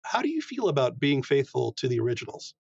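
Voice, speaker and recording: A man's voice: medium pitch, huge pitch swings, quick pace, vocal fry, breathy edge. Male interviewer on slightly dull audio. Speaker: interviewer, host. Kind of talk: conversational interview.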